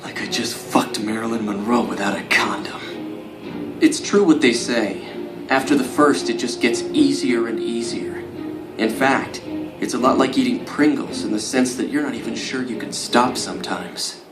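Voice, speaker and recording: A male actor as a young, nervy office worker learning to be a cocky supervillain. His voice sits at a middle pitch in a comic-book drama, with a roomy sound and music clearly behind it. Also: moderate pace, lightly acted. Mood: cocky, matter-of-fact. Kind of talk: motion comic acting and first-person narration.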